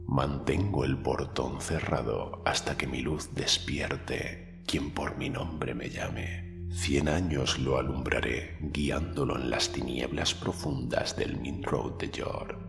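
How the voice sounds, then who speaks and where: A man voicing a solemn enchanted stone inscription in a slow ghostly whisper. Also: rumbling low, fairly even intonation, vocal fry, music in the background, pitched below the performer's own voice, exaggerated voice. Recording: long echoey hall reverb.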